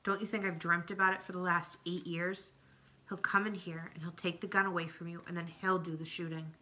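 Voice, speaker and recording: A woman's voice: fairly low pitch, flat delivery, fairly quick, bright, forceful tone. A woman reading aloud, voicing an anxious, fearful old grandmother. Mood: worried. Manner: anxious and pleading. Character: frightened, protective old grandmother.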